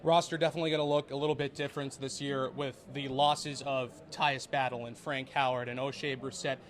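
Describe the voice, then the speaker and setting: A man's voice: medium pitch, little pitch movement, a little fast. A young man asking prepared questions as a sports interviewer. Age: young adult.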